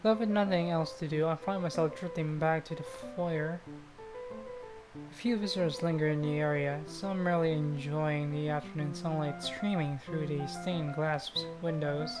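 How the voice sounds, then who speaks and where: A woman's voice: deep voice, steady tone, moderate pace, music in the background, low-quality recording. A woman streamer reading visual novel narration aloud, now and then stumbling and rereading phrases.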